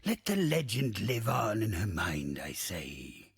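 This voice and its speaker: Gravelly, raspy old undead pirate captain. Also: male voice, fairly low pitch, huge pitch swings, slow pace, full character voice. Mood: melancholy. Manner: archaic pirate dialect, swaggering, theatrical, cursing. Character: gruff, salty old undead male pirate captain, crude and boastful. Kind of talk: video game character acting.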